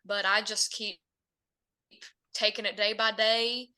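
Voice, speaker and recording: A young woman with a Southern Appalachian drawl talks in long, rambling, earnest answers. Her voice is bright and forward, set at an ordinary middle pitch. She sounds like a country singer being interviewed on a podcast. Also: level tone, slow pace, clear, resonant tone. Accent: Southern Appalachian American. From Virginia, USA. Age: young adult.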